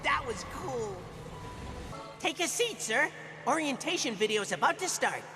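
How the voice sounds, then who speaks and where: Eager, high-pitched little robot sidekick. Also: male voice, sing-song, measured pace, over music, full character voice. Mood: excited, gushing. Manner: gushing, eager, deferential. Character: excitable, loyal, nervous small robot assistant. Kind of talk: animated video game cutscene acting.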